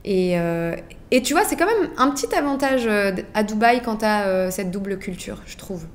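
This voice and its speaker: Lively, candid woman guest. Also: mid-range voice. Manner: candid, lively, quick to laugh. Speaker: podcast guest. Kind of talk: casual conversational interview.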